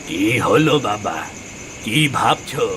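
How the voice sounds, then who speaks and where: A humble old cartoon father, talks slowly in a low voice. He is gentle and kindly, with insects chirping in the noisy background. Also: big pitch swings. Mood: concerned. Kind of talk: Bengali cartoon moral-tale acting.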